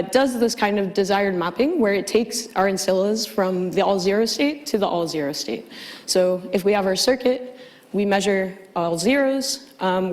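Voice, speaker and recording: A woman giving an academic conference talk, a little hoarse from losing her voice, speaking with many ums and uhs. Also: medium pitch, long reverb tail. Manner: hesitant, frequent ums and uhs. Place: lecture hall.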